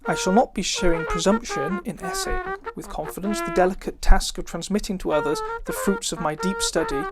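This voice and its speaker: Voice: medium pitch, huge pitch swings, fairly quick, over loud music. A person with a female-sounding voice reads an old, formal book introduction aloud, occasionally stumbling over words. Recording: cornet playing over the voice. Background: live brass cornet playing.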